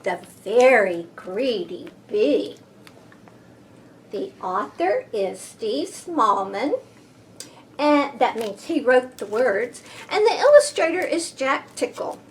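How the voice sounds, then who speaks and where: Very slow, expressive woman storyteller. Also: fairly high pitch, a little hiss or hum. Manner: expressive, deliberate, with playful sound effects and gasps. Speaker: storyteller reading aloud to children. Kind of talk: children's picture-book read-aloud.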